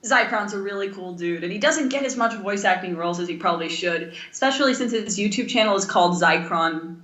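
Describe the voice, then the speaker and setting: Young woman, bright forceful voice, echoey room, casual podcast chat, enthusiastic and rambling. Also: medium pitch, fairly quick. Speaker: podcast co-host.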